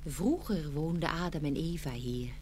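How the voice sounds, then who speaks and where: A woman playing a gracious, gentle fairy of paradise in a children's fairy-tale radio play, measured and tender. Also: low-pitched, slight background noise, close to an everyday voice. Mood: solemn, tender.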